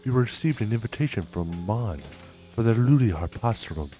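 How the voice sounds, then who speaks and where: Male actor as scheming deferential envoy, very deep, formal and obsequious, fan-dub drama. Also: lively intonation, normal speed, dark tone, faint music behind, close to an everyday voice. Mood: nervous, servile. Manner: servile, formal, smooth-talking.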